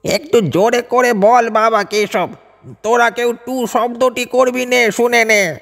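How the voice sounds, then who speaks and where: A man's voice: very high voice, fairly even intonation, takes it slowly, creaky, fairly bright tone, exaggerated voice. A man playing a bedridden, bossy, nosy married woman in a dramatised audio story. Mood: calling out. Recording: long reverb over music bed.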